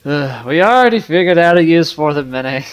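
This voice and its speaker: A man's voice: a little high, animated, a little fast, clean tone. A young man hosting a gameplay video, chatting casually with playful exasperation. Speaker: gaming video host. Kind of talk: casual gameplay commentary and banter.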